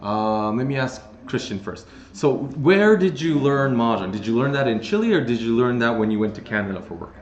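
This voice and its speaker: A man hosting a casual interview chat, relaxed and full of small acknowledgments. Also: mid-range voice, brisk, hardly any pauses, reverberant, highs cut off. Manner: relaxed, many filler acknowledgments. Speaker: host.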